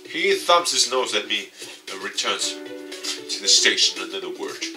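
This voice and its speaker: Male YouTuber narrating visual novel, low pitch, halting delivery, distant mic, guitar music behind. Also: big pitch swings. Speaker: video game YouTuber. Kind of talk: reading a visual novel aloud with commentary.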